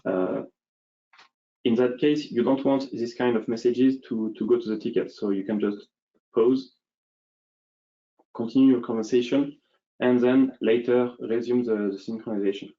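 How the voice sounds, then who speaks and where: A man with a soft, mellow voice at a middling pitch walks through a software demo. He explains step by step, hesitating and thinking aloud. The audio is somewhat dull, as on a web call. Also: steady tone, moderate pace, long pauses.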